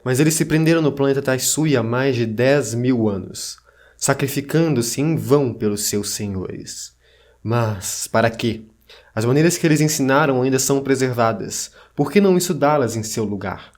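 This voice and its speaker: A man playing a solemn chronicler of ancient myths in an audio drama. His voice sits at a middle pitch, and he narrates with measured, formal phrasing. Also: a little mellow, natural voice. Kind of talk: scripted narration of legends.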